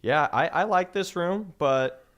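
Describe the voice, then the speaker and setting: A man's voice: fairly high pitch, unhurried, fairly bright tone, lo-fi sound. A twenty-three-year-old man chatting as a podcast guest in a small studio, easygoing and laid-back. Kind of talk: casual chat. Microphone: studio mic on boom arm.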